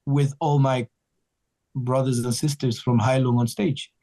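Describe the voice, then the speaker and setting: A man's voice: medium pitch, steady tone, measured pace, dark tone. A man from Ecuador, a guest talking earnestly and in loose rambling sentences in a casual interview. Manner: earnest, rambling, with frequent restarts. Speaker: guest musician.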